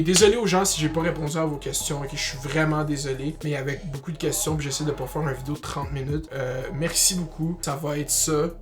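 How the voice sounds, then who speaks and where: Young male vlogger, Québécois accent, voice a bit high, loose slangy delivery with English borrowings, light music underneath. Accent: Québécois French. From Quebec, Canada. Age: young adult. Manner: informal, slangy, mixes in English words. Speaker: YouTuber. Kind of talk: casual Q&A vlog to camera.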